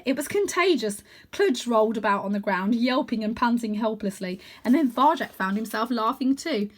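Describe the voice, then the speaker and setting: A woman reading a children's story aloud in an expressive narrating style. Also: fairly high pitch. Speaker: storybook reader.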